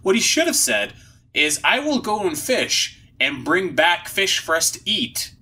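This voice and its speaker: A man's voice: high voice, big pitch swings, measured pace, pushed and bright, husky. A man hosting a podcast, recapping in casual, slangy, comedic chat full of hyperbole. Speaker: podcast host.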